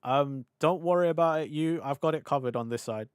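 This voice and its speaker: A young British man streaming live, rambling and laughing as he chats with his audience, his voice a little hoarse from hours of talking. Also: a little high, dry recording, clean studio sound. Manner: chatty, scattered, quick to laugh. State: slightly hoarse. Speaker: VTuber streamer. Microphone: desk mic on a boom arm.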